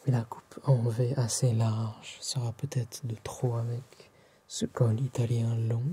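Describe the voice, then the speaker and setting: A man doing a soft-spoken, half-whispered ASMR tailor roleplay in a polite, formal, attentive manner. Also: medium pitch, level tone, a little slow, slight background noise.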